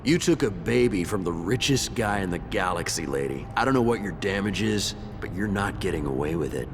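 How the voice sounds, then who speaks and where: An actor playing a cocky, threatening mercenary squad leader in a video game, taunting and tough-talking. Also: male voice, deep voice, expressive, a little fast, background noise. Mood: smug menace.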